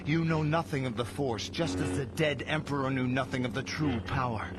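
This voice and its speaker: An actor playing a resolute, heroic young Jedi master in an audio drama, earnest and confident. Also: male voice, mid-range voice, normal speed, very noisy, close to an everyday voice. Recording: loud music over the voice.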